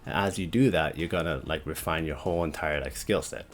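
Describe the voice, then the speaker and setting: Deep monotone young man vlogging. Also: a little fast, slight background noise. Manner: rambling, heavy use of "like" and "you know". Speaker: YouTube creator. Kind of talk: casual talking-head vlog.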